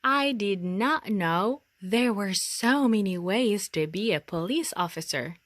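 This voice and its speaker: Eager, questioning storybook girl, played by a woman reader, earnest, bright-eyed, measured in pace with a dry, close sound. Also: mid-range voice, lively intonation, no echo. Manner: earnest, inquisitive. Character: eager, curious young girl narrating storybook, admiring police.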